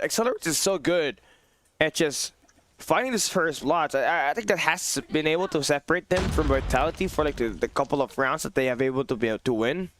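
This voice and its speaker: A man giving live play-by-play commentary on an esports match, his voice tired and hoarse, excitable and rising to shouts at big plays. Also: on the high side. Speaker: esports caster. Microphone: phone on a low battery.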